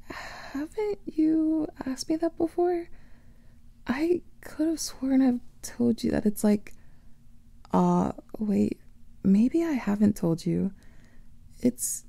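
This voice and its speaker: A woman voicing an affectionate female vampire lover in a whispered ASMR roleplay, speaking softly and intimately close to the mic. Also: fairly high pitch, gravelly, no room sound. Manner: soft intimate whispering, doting.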